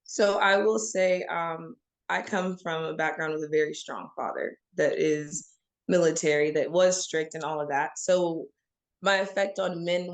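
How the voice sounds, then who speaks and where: Frank, emphatic woman from Oklahoma. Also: low voice. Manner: frank and emphatic, addresses listeners as y'all. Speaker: guest. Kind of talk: candid interview talk.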